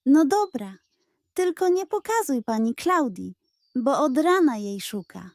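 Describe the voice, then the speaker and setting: A woman's voice: high-pitched, big pitch swings, measured pace, no room sound, clean studio sound, pitched above the performer's own voice, full character voice. A woman voicing a sly, secretive male cat in a children's story, speaking in terse, conspiratorial phrases.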